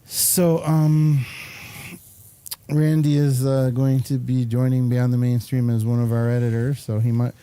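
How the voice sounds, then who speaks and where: A man hosting a casual talk show, speaking in a hesitant, halting way. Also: mid-range voice, little pitch movement, mellow, smooth, dry recording. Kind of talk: casual conversational interview.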